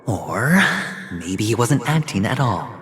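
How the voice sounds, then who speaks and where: A man playing a sly, guarded, self-assured young gambler in a video game cutscene, with a measured, wry delivery. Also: on the low side, very animated, a bit bright, exaggerated voice. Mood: wry, weary. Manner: measured, wry, understated confidence. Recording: long reverb with music behind.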